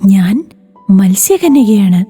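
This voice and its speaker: A woman voicing a kind magical mermaid in a children's cartoon story, gentle and reassuring. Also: medium pitch, sing-song, slow, husky, faint music behind, pitched below the performer's own voice, full character voice. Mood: calm, curious. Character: kind magical mermaid who consoles and helps.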